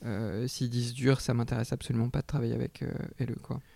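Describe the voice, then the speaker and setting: Brief: hesitant artist guest, man, gravelly and dark-toned, close dry sound. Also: mid-range voice, level tone, fast talker, dry recording. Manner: hesitant, searching for words. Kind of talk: reflective interview answers.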